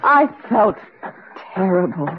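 A woman's voice: medium pitch, very animated, takes it slowly, faint music behind. An actress playing a sassy, proud but uneasy young woman, theatrical and faltering, in an old radio drama. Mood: guilty, anxious. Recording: noisy, narrowband old radio recording.

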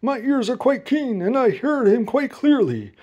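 A man voicing an earnest, gentle elephant in a storybook, protective and worried, with emphatic, pleading delivery. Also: very high voice, very animated, normal speed, dull audio, pitched above the performer's own voice, full character voice.

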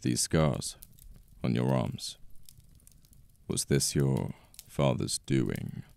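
A man acting a possessive yet protective mafia boss in an ASMR romance roleplay, with a British accent, a deep gravelly voice and an intimate manner full of sighs and pauses. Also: lively intonation, a little mellow, dry recording, heavily acted. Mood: teasing, welcoming. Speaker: voice actor. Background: birdsong, outdoor ambience effects.

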